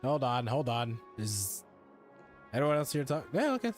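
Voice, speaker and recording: A man's voice: mid-range voice, very animated, moderate pace. A man giving casual, slangy, bemused reaction commentary while playing a story video game. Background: game music and dialogue.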